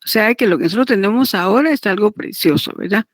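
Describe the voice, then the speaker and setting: A woman giving a didactic lecture, partly read aloud, in a deliberate, repetitive manner. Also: medium pitch, lively intonation, no room sound, clean studio sound. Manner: deliberate, repetitive, checks understanding with tag questions. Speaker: law lecturer teaching notarial law.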